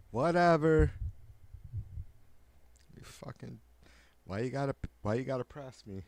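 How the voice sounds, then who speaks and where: A man with a raspy, nasal voice, rambling profanely and jokingly on his livestream. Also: medium pitch, expressive, slow, long pauses, a little mellow, no echo. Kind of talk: casual rambling livestream chat.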